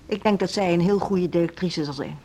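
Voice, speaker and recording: Mild, husky school director. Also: female voice, lowish voice, a little fast, warm tone, low-quality recording. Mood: concerned. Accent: Netherlands Dutch. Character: mild, conciliatory female music school director. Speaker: radio drama actor. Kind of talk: Dutch radio play dialogue.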